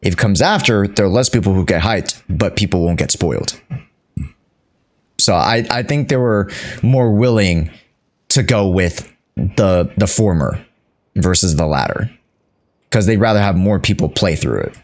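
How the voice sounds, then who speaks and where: Low-voiced man, slow-paced game streamer. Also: lively intonation. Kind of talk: casual live commentary on a game. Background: game music.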